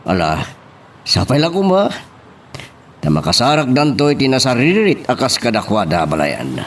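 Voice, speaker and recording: A man's voice: mid-range voice, moderate pace. A man with a Filipino accent playing a genial, curious father-in-law in an amateur audio drama, with noise behind him. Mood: hopeful. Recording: steady background noise.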